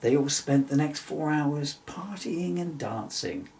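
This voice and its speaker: A middle-aged man reading a children's story-poem aloud in an expressive, theatrical way. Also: on the high side, takes it slowly, vocal fry, distant mic, slight background noise. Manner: animated, theatrical. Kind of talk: expressive rhyming storybook narration.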